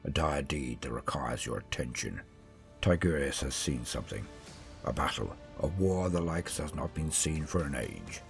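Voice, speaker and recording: A man's voice: rumbling low, lively intonation, normal speed, vocal fry, soft background music, voice pushed down, full character voice. A man voicing a grizzled giant warrior commander in a sci-fi audio story, solemn, portentous and commanding. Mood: grave, commanding. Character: grizzled giant Space Marine chapter lord, grave and commanding. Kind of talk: audiobook character acting.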